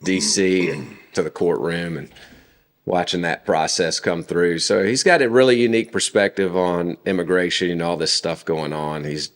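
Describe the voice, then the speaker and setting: A man in his forties, a podcast guest, telling family stories in a relaxed conversational way with frequent fillers. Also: sits low, normal speed. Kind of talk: casual conversation.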